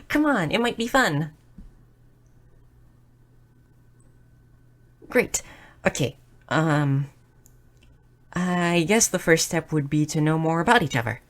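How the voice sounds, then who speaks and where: A woman voicing a shy, nerdy, curious young goblin girl in a roleplay audio drama, speaking softly and earnestly with small stammers. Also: deep voice, lively intonation, measured pace. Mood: playful, eager. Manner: soft, hesitant, stammering, earnest.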